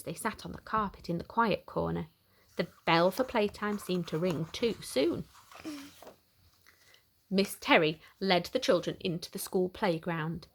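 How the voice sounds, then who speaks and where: A woman reads a picture book aloud for young children. Her mid-pitched voice moves up and down a great deal, and her delivery is expressive and simple, as if speaking straight to small listeners. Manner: animated, simple questioning aimed at small children. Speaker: children's story reader.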